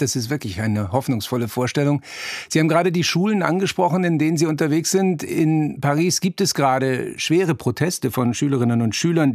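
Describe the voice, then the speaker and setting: A man's voice: a little high, darkish tone, no echo, studio quality. A man hosting a radio interview, asking long, articulate questions.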